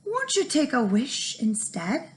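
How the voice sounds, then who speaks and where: A woman's voice: high-pitched, wildly swooping pitch, slow pace, voice pushed up, heavily acted. A woman voicing a clever little male leprechaun in a storybook, pleading and wheedling.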